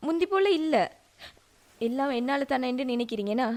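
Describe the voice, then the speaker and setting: A woman's voice: high-pitched, animated, brisk, darkish tone. An actress playing an anxious young Sinhala wife who pleads with her husband, switching between Sinhala and Tamil. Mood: hurt. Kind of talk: radio drama acting.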